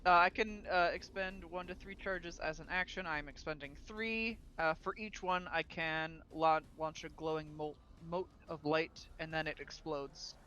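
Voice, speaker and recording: A man's voice: on the high side, fairly even intonation, a little slow, hardly any pauses, pushed and bright, clean tone, lo-fi sound. A man playing in an online tabletop game, reading out his abilities hesitantly with many fillers. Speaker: player.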